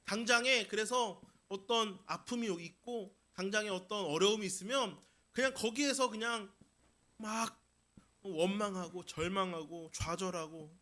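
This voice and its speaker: Male pastor, very high voice, bright forceful tone, emphatic sermon delivery, repeated phrases, rhetorical questions. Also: unhurried. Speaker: pastor preaching. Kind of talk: Sunday sermon to a congregation.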